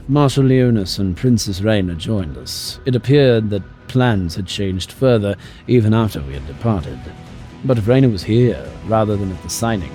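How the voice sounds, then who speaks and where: A serious royal companion, played by a man narrates an audio drama. His voice is dark, mellow and fairly low, with measured, formal phrasing. Faint music plays behind. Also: lightly acted.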